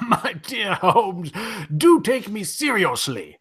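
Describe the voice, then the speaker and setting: A man playing a well-off, earnest and troubled lord with a raspy voice in an old-time radio drama spoof. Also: high-pitched, very animated, slow pace, fairly bright tone, exaggerated voice.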